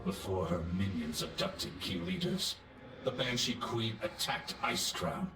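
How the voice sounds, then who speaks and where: A man playing a solemn death knight messenger in a game, speaking in a grave, formal, measured way. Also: deep voice, very animated, recorded from a distance, music in the background. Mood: solemn urgent.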